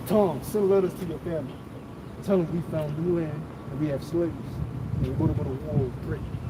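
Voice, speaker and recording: A man giving a heated, profane religious teaching outdoors, talking over the others. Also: a little high. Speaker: teacher of a street study group. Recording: loud outdoor wind and traffic noise.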